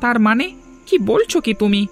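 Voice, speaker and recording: A man's voice: very high voice, very animated, normal speed, a bit bright, full character voice. A man voicing an earnest, protesting young farmer, a younger brother. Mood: puzzled, earnest. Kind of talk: moral-story cartoon dubbing. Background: faint music.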